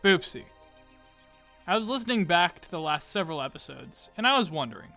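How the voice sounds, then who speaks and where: A man's voice: sits high, very animated, a little fast, gravelly, pushed and bright, soft background music. A teenage young man hosting and narrating a scripted audio drama with comic banter. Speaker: narrator and creator.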